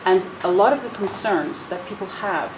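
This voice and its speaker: A woman's voice: mid-range voice, animated, moderate pace. A Dutch woman with an American accent, speaking earnestly and persuasively as a panelist at a roundtable. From Netherlands, raised in the US. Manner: earnest, persuasive, many restarts. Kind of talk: roundtable speech. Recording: echoey room with noise.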